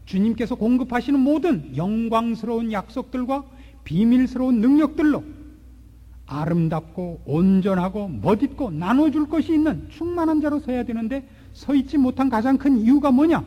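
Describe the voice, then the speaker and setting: A man in his forties preaching a sermon, asking rhetorical questions and swinging between loud and hushed delivery. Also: very high voice, animated, moderate pace, highs cut off. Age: 40s. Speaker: pastor. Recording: long hall reverb.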